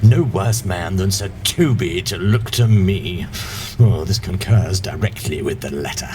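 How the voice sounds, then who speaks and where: An actor playing a pompous, deluded, disdainful steward in a theatrical Shakespearean audio drama. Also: male voice, deep voice, measured pace, a little mellow, a little hiss or hum. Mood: smug delight.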